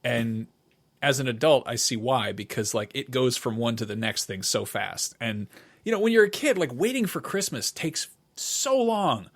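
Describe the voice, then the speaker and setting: Male podcast co-host, middle-aged, average pitch, wry and conversational, unscripted. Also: animated, normal speed. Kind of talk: casual conversational podcast chat.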